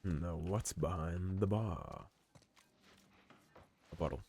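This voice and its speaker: A man giving relaxed, musing commentary over a game playthrough, his voice slightly hoarse. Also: sits low, takes it slowly, slight background noise. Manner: relaxed, musing, reads in-game notes aloud. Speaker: game streamer. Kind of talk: let's play commentary.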